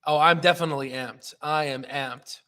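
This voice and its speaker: Man, somewhat high pitch, live stream host, casual trading commentary, excitable, emphatic, joking manner. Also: normal speed.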